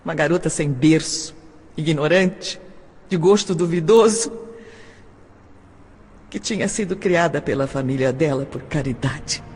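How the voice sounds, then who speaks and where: A woman with a Brazilian accent playing a lonely, wounded but polite adopted girl of seventeen in an audio drama, speaking in a measured way. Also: deep voice, darkish tone, a little hiss or hum. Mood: hurt, bitter. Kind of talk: audio drama acting, first-person recollection and dialogue. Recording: long hall reverb, music behind.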